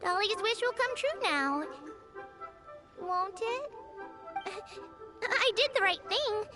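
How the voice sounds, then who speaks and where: High-voiced earnest little girl. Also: lively intonation, slow pace, full character voice. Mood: earnest, hopeful. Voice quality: small, childlike. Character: sweet earnest little girl, innocent and hopeful. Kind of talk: video game character dialogue. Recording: studio voice under game music.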